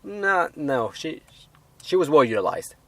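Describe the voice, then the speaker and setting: A man reviewing a film in a casual, unscripted way, halting and rambling as he hedges his points. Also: fairly high pitch, sing-song, bright, forceful tone, dry recording.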